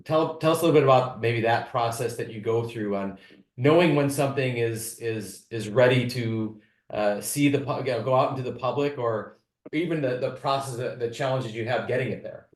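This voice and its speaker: A man's voice: mid-range voice, fairly quick. A man interviewing a guest conversationally, with rambling questions full of halts and repeated words. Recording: roomy, echoing sound.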